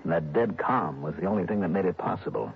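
A muffled, noisy old radio recording carries a shrewd investigator. He has a low American voice and a determined, coolly scheming manner, in classic 1960s radio drama style. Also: animated, fast, vocal fry. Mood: intent, scheming.

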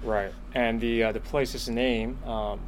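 A man's voice: mid-range voice, steady tone, slow, a little hiss or hum. A man co-hosting a podcast, asking questions and often checking with "right?". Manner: inquisitive, seeks confirmation with "right?". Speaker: podcast co-host. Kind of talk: casual interview chat.

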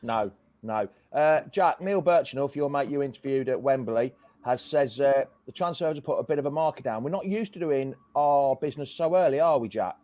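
A man hosting a casual fan chat on a video call, his voice hoarse, greeting each guest by name in a chatty way. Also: mid-range voice, brisk, a little mellow, no echo. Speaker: host.

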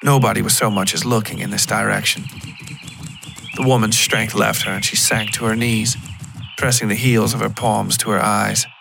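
A man narrating a scripted first-person story in a measured, literary storytelling style. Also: lowish voice, level tone, creaky, background noise. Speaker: fiction podcast narrator.

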